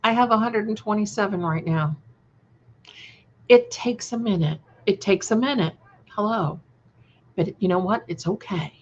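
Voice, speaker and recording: A woman's voice: mid-range voice, moderate pace, pauses often. A woman hosting a casual livestream, answering viewers in a scattered, affectionate way full of endearments. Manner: gushing, affectionate terms of endearment, scattered and conversational. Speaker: livestream host and channel creator. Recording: dull, lo-fi livestream audio.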